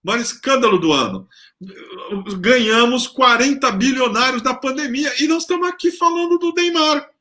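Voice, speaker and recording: A Brazilian man hosts a political talk show in a high, sharp, bright voice. He sounds indignant and sarcastic as he talks. His delivery is pointed and energetic. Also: moderate pace. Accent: Brazilian Portuguese. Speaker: host.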